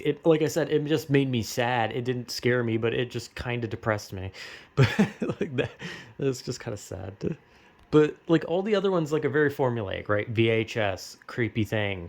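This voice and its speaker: A young man with an American accent giving casual, rambling opinion commentary in slangy, profanity-laden speech. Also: medium pitch. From United States.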